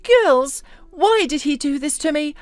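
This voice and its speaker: Casting: female narrator as scornful, domineering businesswoman wife for audio story, theatrical, swooping pitch, dry close sound. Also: sing-song, unhurried, dry recording, faint music behind. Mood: aggrieved. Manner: mocking, theatrical, exclamatory.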